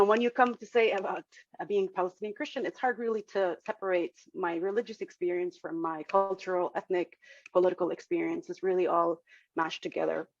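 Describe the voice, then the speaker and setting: A woman's voice: fairly low pitch, vocal fry, dry recording. A woman from Nazareth whose first language is Arabic, giving an informal talk to a congregation over a video call, explaining with many fillers and restarts. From Nazareth, Israel. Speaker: guest speaker at an interfaith service. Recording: dull lo-fi video call audio.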